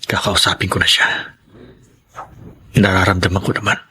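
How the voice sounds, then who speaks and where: A man's voice: low-pitched, completely flat delivery, normal speed, sharp tone, a little hiss or hum. An actor playing a remorseful unfaithful husband in a radio drama, earnest and pleading as he coaxes his wife. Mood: weary resolve. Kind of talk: Tagalog radio drama acting.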